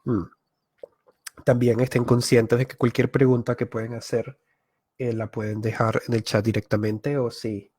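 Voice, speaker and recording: A man from Venezuela, a YouTuber giving a live online masterclass, thinking aloud with filler pauses and frequent self-corrections. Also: fairly low pitch, darkish tone, breathy edge, dry recording. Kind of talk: live-streamed talk.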